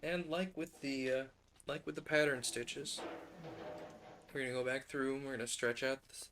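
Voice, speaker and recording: A man's voice: medium pitch, fairly even intonation, moderate pace, a bit bright, slight background noise. A man hosting a casual crafting livestream, rambling and thinking aloud as he works. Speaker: livestream host. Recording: old radio show playing behind the voice. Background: old-time radio drama playing.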